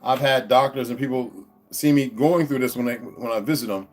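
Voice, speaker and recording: A man speaking at a middle pitch rambles on to his viewers from his room at home, stopping and restarting his sentences. Some soft guitar music plays faintly behind him. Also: brisk. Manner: meandering, restarts, talks to his dogs in a high coaxing voice. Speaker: vlogger. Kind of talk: rambling casual vlog to viewers. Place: indoors at home.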